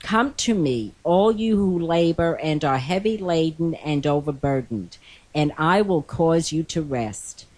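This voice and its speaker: Hoarse older woman reading scripture gently. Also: low-pitched, expressive, unhurried. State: hoarse from a cold. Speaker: co-host.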